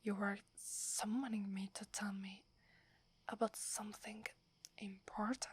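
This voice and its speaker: A woman with an Italian accent playing a shy, devoted young werewolf in a breathy, hesitant ASMR whisper. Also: medium pitch, level tone. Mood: dutiful, resigned. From Italy. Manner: hesitant, halting, deferential. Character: devoted, shy young female werewolf serving her alpha.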